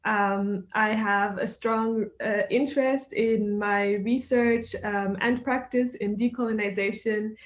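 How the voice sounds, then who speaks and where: A young woman from Zimbabwe speaking as a guest in an informal online discussion, measured and thoughtful. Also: medium pitch, steady tone. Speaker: guest, architect.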